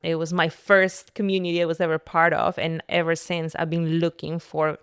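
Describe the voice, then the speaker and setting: Smooth-voiced woman, lower pitched. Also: little pitch movement, moderate pace, no echo, studio quality. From Peru. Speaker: podcast guest, entrepreneur and content creator. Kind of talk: personal storytelling in casual conversation.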